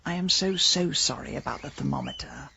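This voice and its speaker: An actress playing a sharp-tongued, vain middle-aged businesswoman turned village detective in a radio drama, brisk and drily wry. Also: on the low side, wildly swooping pitch, creaky, very noisy, exaggerated voice. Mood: eager, coy.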